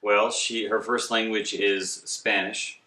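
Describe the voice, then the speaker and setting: A man in his thirties with a low but bright, forward voice talks casually, as if on a family vlog. He goes haltingly, with good-humoured teasing. The room around him sounds echoey. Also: flat delivery. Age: 30s. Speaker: vlogger father. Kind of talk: casual couple Q&A chat. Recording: roomy indoor echo.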